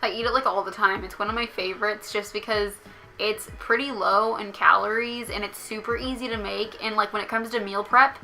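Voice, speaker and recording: Bright-voiced young woman narrating casually. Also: medium pitch, fairly even intonation, a little fast, faint music behind. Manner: chatty, filler-heavy with likes and ums. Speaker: vlogger.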